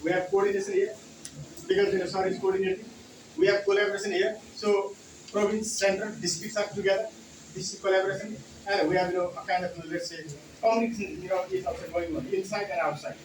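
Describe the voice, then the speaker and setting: A man's voice: high voice, flat delivery, fast. A man with a Nepali accent giving a conference talk on English teaching, with many "you know" fillers and repeated key words. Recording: roomy hall from far off, noisy.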